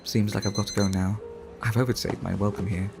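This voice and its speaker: A man playing a suave, refined vampire art collector in an ASMR roleplay, speaking in a formal, courteous, flirtatious and measured way. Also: fairly low pitch, steady tone, creaky, loud music behind the voice. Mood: charming, inviting.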